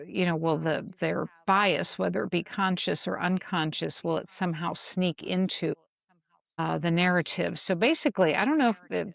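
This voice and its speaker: A woman talks as a podcast co-host in a casual, reflective style. Her voice has plenty of creak and she feels her way haltingly through her thoughts. The sound is dry and slightly lo-fi. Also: lowish voice, no echo. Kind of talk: casual discussion of an audio drama.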